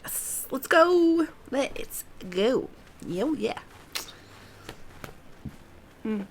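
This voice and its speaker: A woman's voice: high voice, big pitch swings, very slow. A woman giving casual livestream commentary while she plays a video game. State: coughing. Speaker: game streamer. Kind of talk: casual livestream gameplay commentary, reading dialogue aloud.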